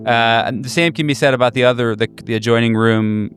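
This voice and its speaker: A man narrating a tabletop game as game master, improvising in a conversational, halting way. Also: medium pitch, fairly bright tone, faint music behind.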